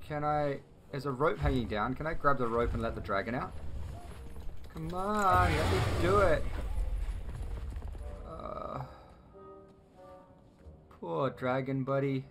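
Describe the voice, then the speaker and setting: A male let's play host with a medium-pitched voice chats casually as he plays. He thinks out loud and reacts to what happens in the game, with music running behind him. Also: takes it slowly, pauses often. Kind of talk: casual gaming commentary.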